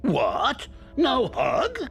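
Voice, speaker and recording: A man playing a manic, childish clown villain in a game, with a gravelly voice and theatrical, whining delivery. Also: very high voice, huge pitch swings, extremely slow, over music, full character voice. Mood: needy. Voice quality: creaky, gravelly. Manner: theatrical, whining, wildly swooping. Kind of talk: animated video game cutscene acting.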